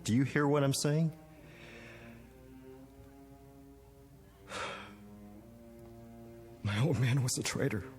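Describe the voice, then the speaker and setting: An actor playing a bitter, haunted young Japanese-American man in a 1960s television drama, speaking haltingly and intensely. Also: medium pitch, darkish tone, soft background music, natural voice. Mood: tormented confession. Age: young adult. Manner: halting, intense, with repeated words.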